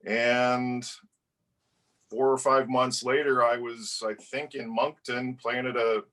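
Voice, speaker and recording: Relaxed male in his 50s, mid pitch, slightly off-mic, joking tone. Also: takes it slowly, distant mic. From Edmonton, Alberta, Canada. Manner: laid-back, joking, mild swearing. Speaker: guest, club player. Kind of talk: casual storytelling interview.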